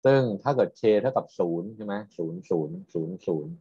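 A man's voice: on the low side, lively intonation, fast, warm tone, husky, dry recording. A man teaches as a university lecturer. He explains patiently in a technical style, often tagging his points with short questions to students. The recording sounds somewhat dull and muffled. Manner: explanatory, checks understanding with frequent tag questions.

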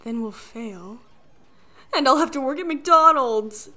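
A woman's voice: sits high, animated, slow pace, bright, forceful tone, heavily acted. A woman playing an anxious, studious teenage girl in an audiobook. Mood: fretful. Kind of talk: audiobook character acting.

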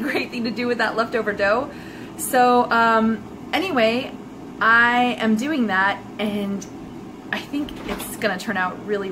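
A woman in her thirties chatting casually as she shows a recipe in her small home kitchen, self-deprecating and quick to laugh, recorded on a phone camera held close. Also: on the high side, background noise. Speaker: cooking vlogger. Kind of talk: casual cooking vlog chat.

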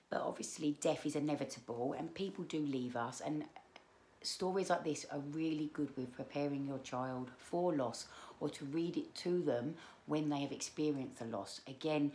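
A woman in her thirties reading aloud to children as a storyteller, expressive, with drawn-out emphasis on key words. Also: a bass voice, slight background noise. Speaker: children's book read-aloud presenter. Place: small living room, indoors.

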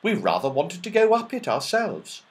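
A man's voice: on the high side, huge pitch swings, moderate pace, voice pushed up. A man voicing a bold, impatient young boy who talks firmly. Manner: firm and pushy. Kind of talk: storybook character voice.

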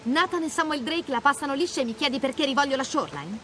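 A woman's voice: sits high, fast talker, a bit bright, slight background noise. An actress playing a tough, guarded mercenary in her thirties, blunt and sardonic, in naturalistic video game dialogue. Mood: defensive, blunt.

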